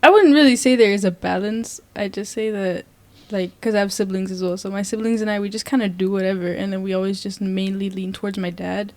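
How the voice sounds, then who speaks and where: A woman's voice: medium pitch, steady tone, brisk, hardly any pauses, a little mellow, no room sound. A teenage girl in a casual interview, talking loosely with lots of fillers and frequent laughs. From Netherlands. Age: teens. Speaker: guest sprinter.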